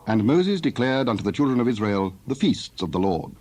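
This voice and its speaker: A man narrating a dramatized Bible reading in a formal, measured storytelling style. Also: mid-range voice, big pitch swings, darkish tone, husky. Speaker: scripture narrator.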